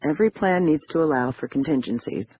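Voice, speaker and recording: A male narrator playing a confident, level-headed woman in his own register. Her lines come out even and matter-of-fact, a little husky. The recording is dry and muffled. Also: a little high, fairly quick, dry recording.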